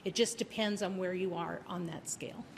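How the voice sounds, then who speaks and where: A woman's voice: on the low side, fairly even intonation, normal speed, a little hiss or hum. A woman answering a committee's questions about a program in a plain, matter-of-fact way. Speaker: program staff presenter. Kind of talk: meeting discussion. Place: city council meeting room.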